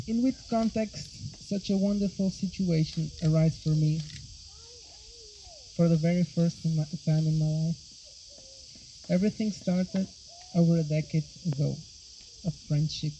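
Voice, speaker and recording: A man's voice: a little high, steady tone, a little hiss or hum. Portuguese-accented man officiating outdoors. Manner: earnest, halting, with restarts. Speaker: wedding officiant. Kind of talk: wedding speech. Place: outdoor wedding. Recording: dull sound, loud outdoor crickets.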